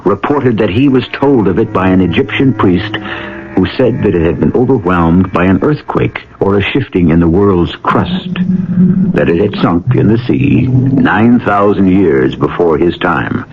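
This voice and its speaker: Very deep, gravelly male radio host. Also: lively intonation. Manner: portentous, measured, theatrical. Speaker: host of a radio mystery series. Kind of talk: vintage radio scripted narration. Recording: muffled old radio broadcast with music underneath.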